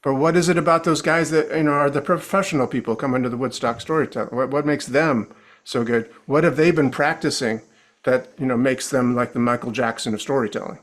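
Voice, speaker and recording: A man's voice: mid-range voice, fairly even intonation, a little fast. A man who coaches storytelling, a podcast guest explaining his approach in a reflective way with rhetorical questions. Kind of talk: casual interview chat.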